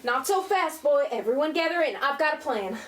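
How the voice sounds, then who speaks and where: A woman's voice: sits high, lively intonation, brisk, fairly bright tone. A woman playing a responsible, headstrong, protective teenage eldest sister in a radio play. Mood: brisk, determined. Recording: roomy, distant mic, faint hiss.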